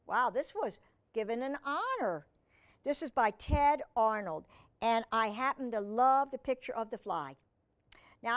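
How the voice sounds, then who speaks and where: A woman's voice: a little high, clean tone. A woman hosting a children's storytime, reading a picture book aloud expressively and adding chatty asides and questions to her young viewers.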